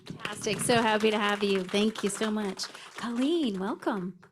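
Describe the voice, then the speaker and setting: A woman emceeing an event, warmly welcoming new members. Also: medium pitch, moderate pace, noisy background.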